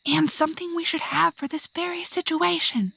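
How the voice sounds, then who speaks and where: A woman's voice: very high voice, steady tone, normal speed, vocal fry, bright, forceful tone, exaggerated voice. An actress playing a fiery, impatient young witch who is itching for a fight, feisty and grumbling, in an audio drama. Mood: defiant.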